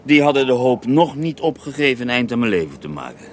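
A man's voice: medium pitch, big pitch swings, quick pace, close to an everyday voice. An actor playing an earthy Russian holy man who tells his life story with theatrical relish in a radio drama. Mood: emphatic. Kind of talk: radio drama acting in interview form. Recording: noisy older radio drama recording.

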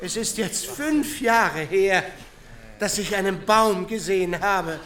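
A man playing a pompous English officer in a radio drama, speaking in measured, declamatory phrases. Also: high voice, expressive, pushed and bright, exaggerated voice. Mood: wistful, genial. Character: pompous English officer addressing captive soldiers, wistful, genteel.

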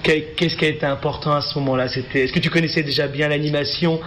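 A man's voice: a little high, steady tone, fast, a bit bright. A man hosting a radio show and interviewing in a casual, improvised, slightly hesitant way. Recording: clear background noise and ambience.

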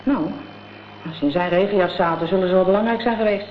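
A woman's voice: on the low side, fast, close to an everyday voice. An actress playing a gangster's tough, vengeful girlfriend in a radio drama, talking in blunt, scornful slang. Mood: matter-of-fact. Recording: dull, noisy old radio play recording.

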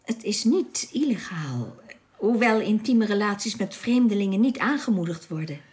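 A woman's voice: medium pitch, lively intonation, normal speed, darkish tone, lightly acted. Lilting, careful alien woman played by an actress. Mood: guarded. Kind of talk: radio drama acting.

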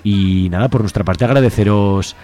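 A man's voice: fairly low pitch, moderate pace, dark tone. A man hosting a radio interview in an informal, jokey way, often checking in with question tags. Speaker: radio show host.

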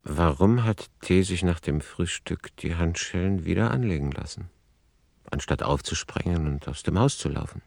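Deep, dry-recorded analytical male author. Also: normal speed, vocal fry, a little mellow, no echo. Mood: sober, reflective. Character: male author soberly commenting on his own manuscript. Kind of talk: essayistic literary commentary in radio play.

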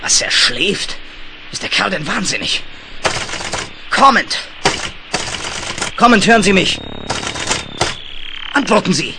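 A man's voice: high-pitched, very animated, slow, vocal fry, pauses often, background noise, full character voice. An actor playing a fatherly, exasperated space commander who barks orders with anxious hesitations in a 1970s radio drama. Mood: alarmed, exasperated. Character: older, urgent, fatherly space base commander, exasperated and worried.

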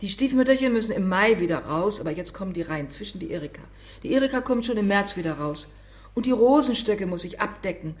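A woman's voice: mid-range voice, lively intonation, fast, close to an everyday voice. A woman playing a fussy older mother. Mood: chattering. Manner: busy, talks past her daughter. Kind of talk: radio play acting.

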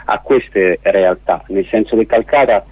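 Radio guest, male. Lowish pitch, close dry recording, emphatic but halting explainer who often rephrases. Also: husky, no room sound, phone-quality sound. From Rome, Italy. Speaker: festival organiser, radio guest. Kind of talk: radio interview, explaining an event.

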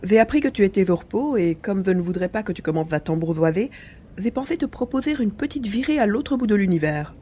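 A woman voicing a small, centuries-old mouse-beaver alien, playful and familiar, with a husky voice, in an audio drama. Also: mid-range voice, animated, brisk, warm tone. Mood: teasing. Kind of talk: French audio drama acting.

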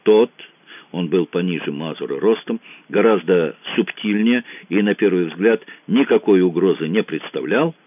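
A man narrating an audiobook in an even, measured reading. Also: low-pitched, no room sound. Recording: dry, narrow phone-like sound.

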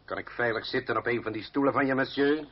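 An actor playing a brusque, impatient French revolutionary leader in a radio play, loud, blunt and swaggering. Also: male voice, mid-range voice, fast, husky, natural voice. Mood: blustering impatience. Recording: muffled old radio play, faint noise.

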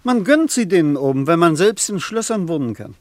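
A man's voice: fairly high pitch, very animated, a little fast, a little mellow, dry recording. Level-headed man in a dry studio. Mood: matter-of-fact. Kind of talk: radio play dialogue.

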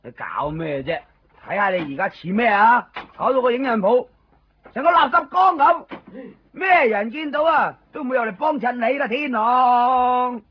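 A man's voice: very high voice, expressive, moderate pace. A man with a Hong Kong Cantonese accent playing a nervous, apologetic, evasive friend in a radio drama. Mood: chiding. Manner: hesitant, stammering, pleading. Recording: dull, lo-fi 1990s radio recording with music.

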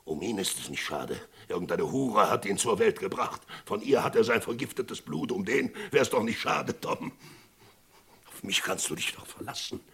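A man acting in a German radio western as an agitated sheriff who pleads emphatically with his friend, in a husky, gravelly voice. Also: lowish voice, moderate pace, heavily acted. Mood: insistent pleading. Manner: emphatic, swinging from shouting to hushed pleading.

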